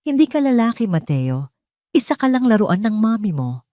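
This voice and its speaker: A woman's voice: mid-range voice, sing-song, normal speed, mellow, dry recording, voice pushed up, close to an everyday voice. Woman doing wronged young wife in audio drama, proud n defiant, firm emotional delivery, dry sound. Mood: scornful.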